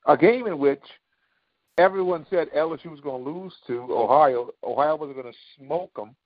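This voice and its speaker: A man with a somewhat high voice speaks as a panelist in a casual debate. He is insistent and argumentative. The sound is close and dry, slightly dulled. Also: normal speed, dry recording. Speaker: talk show panelist. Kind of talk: casual sports and current affairs debate. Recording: dry, dull lo-fi audio.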